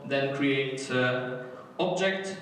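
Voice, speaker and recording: A man's voice: medium pitch, drawn-out words, a bit bright. A man with a Polish accent presenting a coding workshop in English, talking hesitantly with many fillers and restarts. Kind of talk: live coding workshop talk. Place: conference hall. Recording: echoey hall, distant mic, faint noise.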